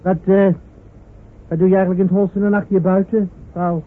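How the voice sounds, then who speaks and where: Actor as a hesitant, bumbling soldier, tinny radio. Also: male voice, high voice, little pitch movement, moderate pace, natural voice. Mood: evasive, sheepish. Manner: hesitant, stammering, repeating himself. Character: shifty, bumbling stray soldier, evasive and easily won over. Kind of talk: radio play acting. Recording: noisy, phone-like old radio recording.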